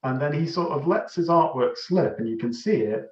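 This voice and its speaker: A man co-hosting a podcast, casually discussing a film in a halting, wry way. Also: fairly high pitch, animated. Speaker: podcast co-host. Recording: slightly dull, echoey room.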